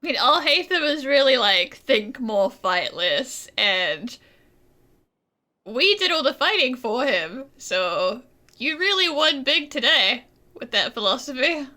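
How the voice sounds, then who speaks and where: A woman's voice: sits high, big pitch swings, slow, bright, forceful tone. A woman giving casual, wry, informal commentary as she plays through a video game. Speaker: gaming streamer. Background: game music.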